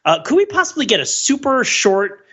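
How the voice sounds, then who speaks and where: Bright, animated man on a podcast panel. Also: very high-pitched, moderate pace, husky, lo-fi sound. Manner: inquisitive, chatty, wordy phrasing. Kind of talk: casual conversational discussion.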